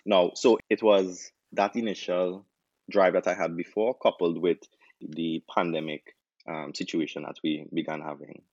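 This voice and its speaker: Man, Trinidadian accent, very low voice, dry and slightly dull recording, relaxed storyteller. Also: a little slow, dry recording. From Trinidad and Tobago. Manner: relaxed, storytelling, frequent restarts. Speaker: doctor turned chef, guest. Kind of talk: casual interview answers.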